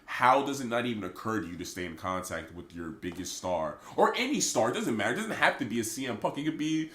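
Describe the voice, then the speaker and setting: A man's voice: mid-range voice, fast. A man co-hosting a casual podcast, his voice stuffy and congested from a cold, ranting with strong opinions. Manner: opinionated, animated rants. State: stuffy, sick voice. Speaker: podcast co-host. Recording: echoey room.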